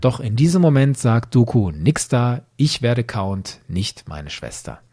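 A man's voice: mid-range voice, very animated, unhurried, mellow, slight background noise. A man hosting a podcast, explaining in a didactic way with emphatic phrasing. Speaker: podcast host.

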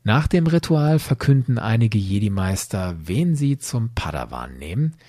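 Dark, lively low-voiced man hosting a podcast. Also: moderate pace, dry recording, studio quality. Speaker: podcast host. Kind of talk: scripted podcast commentary.

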